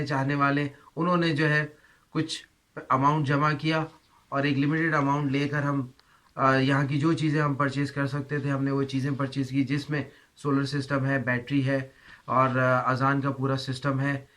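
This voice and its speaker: A man speaking as a vlogger to his viewers in an informal, devout and somewhat halting way. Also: medium pitch, bright, forceful tone. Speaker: vlogger, channel host. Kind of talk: informal vlog talk, thanking and appealing to viewers.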